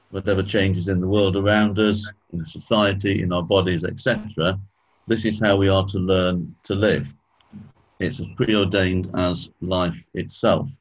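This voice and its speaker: A man with a croaky voice, clearing his throat often as he leads a church study session, slowing down on key points. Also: sits low, poor audio. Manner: measured, slows down on key points. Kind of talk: spoken teaching talk.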